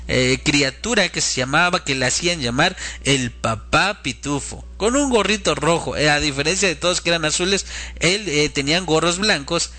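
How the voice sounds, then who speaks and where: A male preacher hosts a religious talk with a fairly high, very bright and forceful voice. He speaks earnestly and directly to his audience as friends. He repeats phrases and poses questions to press his point. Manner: earnest, exhortative, with rhetorical questions and repetition for emphasis. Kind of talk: informal sermon, addressing listeners as friends.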